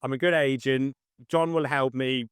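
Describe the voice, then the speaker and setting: A middle-aged man giving a casual interview as a guest, slightly monotone, speaking matter-of-factly in clipped phrases. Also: medium pitch, moderate pace, smooth, no room sound, studio quality. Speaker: interview guest, estate agent.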